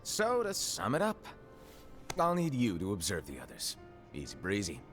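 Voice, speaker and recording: A breezy, teasing mentor in a game cutscene, his voice swinging freely up and down. Game music plays clearly behind him. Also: lowish voice, wildly swooping pitch, moderate pace, a bit bright. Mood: coaxing. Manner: breezy, teasing, then coaxing. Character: playful teasing male mentor leader. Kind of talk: video game cutscene acting. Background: game score music.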